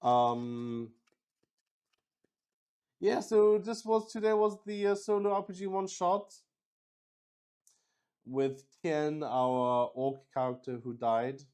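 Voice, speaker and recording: A man's voice: fairly high pitch, lively intonation, slow, long pauses, a little mellow, smooth. A man hosting a solo tabletop game stream, chatting casually and unscripted in a rambling way that often restarts sentences. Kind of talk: casual livestream chat, unscripted.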